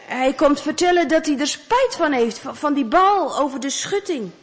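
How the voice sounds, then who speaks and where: A woman's voice: high voice, expressive, normal speed, big-room sound, voice pushed up. A woman voicing a polite but determined father who urges his son and pleads to be let in. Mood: earnest. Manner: earnest, urging.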